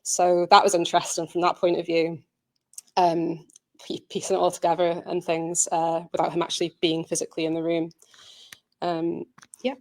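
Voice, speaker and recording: Woman, lowish voice, very flat intonation, informal presenter, earnest, halting delivery. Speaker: audio drama producer presenting.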